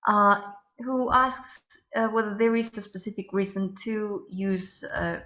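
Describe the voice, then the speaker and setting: A woman's voice: mid-range voice, measured pace, bright, forceful tone, reverberant. A woman moderating a webinar on a video call, politely reading out audience questions with some hesitation.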